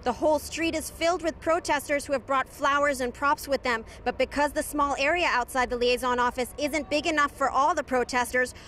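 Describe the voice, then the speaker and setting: Woman, news reporter, high pitch, scripted reporting, noisy street with traffic behind. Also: sharp tone. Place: outdoors on a busy street at a protest. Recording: clear street noise behind the voice.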